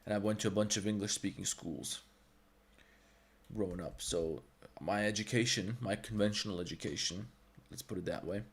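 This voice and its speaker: A man with a slightly low voice and a Balkan accent talks through a 3D modeling livestream. He is blunt and swears freely. His English is fluent, though his first language is Serbo-Croatian. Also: normal speed, husky. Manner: blunt, profane, rambling. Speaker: 3D artist and streamer. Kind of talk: livestream tutorial with chat.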